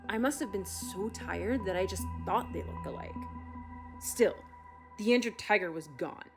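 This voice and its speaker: A middle-aged woman played by an actress who works as a zoo animal doctor, exasperated and no-nonsense. Her voice sits at an ordinary pitch, and she speaks emphatically with dramatic pauses, as in an audio drama interview. Music plays underneath. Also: lightly acted. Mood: agitated, incredulous. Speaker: expert interviewee.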